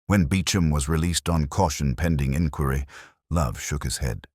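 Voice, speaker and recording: Very deep-voiced male mystery narrator. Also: moderate pace, a little mellow, breathy edge, no echo, studio quality. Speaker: audiobook narrator.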